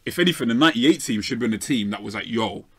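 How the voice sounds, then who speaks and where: Fast-talking London man debating football. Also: medium pitch, lively intonation, a loud crowd. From South London, England. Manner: emphatic, argues with rhetorical tags like "right?". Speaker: panelist on a football fan show. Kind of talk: opinionated sports debate.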